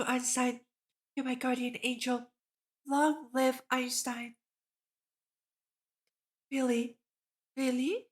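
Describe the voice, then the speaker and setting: A woman voicing a playful, teasing, big-sisterly woman professor from a visual novel. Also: sits high.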